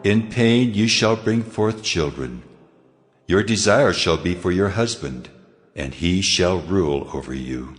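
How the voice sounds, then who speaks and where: An actor playing the Lord God, a stern, commanding male voice making grave, measured pronouncements in an audio drama. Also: sits low, expressive. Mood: judging. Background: music.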